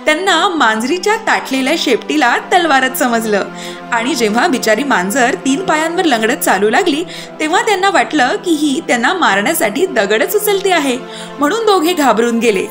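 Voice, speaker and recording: A woman narrating a scripted children's fairy tale as an expressive storyteller. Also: sits high, moderate pace. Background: music.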